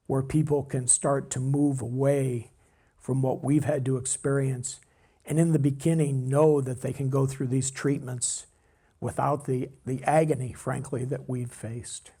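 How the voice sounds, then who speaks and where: An older man giving an earnest, halting public speech as a patient. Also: medium pitch, mellow, husky. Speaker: patient speaking at an event.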